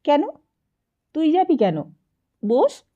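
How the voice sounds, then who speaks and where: Woman acting a gushing, affectionate society hostess, mellow voice. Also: high voice, very animated, slow pace, highs cut off, voice pushed up, heavily acted. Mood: insistent, reassuring. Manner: effusive, affectionate, insistent. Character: warm, gushing, tipsy socialite elder sister hosting guests.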